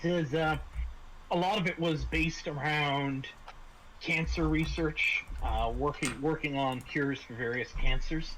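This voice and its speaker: A man acting as game master, describing scenes and explaining rules in a halting way, restarting his phrases. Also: fairly high pitch, steady tone, fairly bright tone, slight background noise, low-quality recording. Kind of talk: tabletop RPG narration and casual rules talk.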